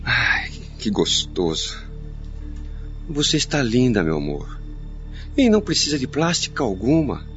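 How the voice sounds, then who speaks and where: An actor playing a scheming, smooth-talking, two-faced husband in a theatrical radio soap style. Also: male voice, medium pitch, very animated, a little slow, pauses often, fairly bright tone. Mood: sweet-talking, coaxing. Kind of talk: radio soap opera acting. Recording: dull lo-fi audio, music underneath.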